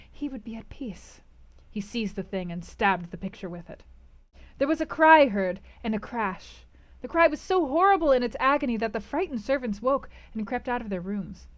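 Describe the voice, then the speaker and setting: A woman with a fairly high voice reads classic fiction aloud in a dramatic audiobook style. Her delivery is theatrical and emphatic, using pauses for weight and moving between hushed and intense phrasing. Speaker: host of a read-aloud book club stream.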